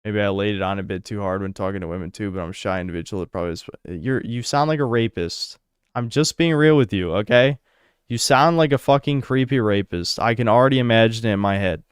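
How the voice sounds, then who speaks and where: A young man from the United States with a low, smooth, resonant voice, reading donation messages aloud and answering chat. He talks bluntly and crudely, with a sarcastic edge. The sound is dry and close, with no room echo. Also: quick pace. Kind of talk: casual stream chat.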